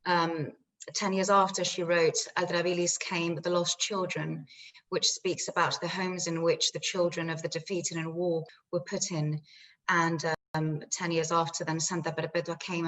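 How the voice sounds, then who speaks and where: A woman with a fairly low voice and flat intonation relays another speaker's answers. She works as an interpreter, summarising phrase by measured phrase. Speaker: interpreter at a post-show Q&A. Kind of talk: consecutive interpreting, explanatory summary.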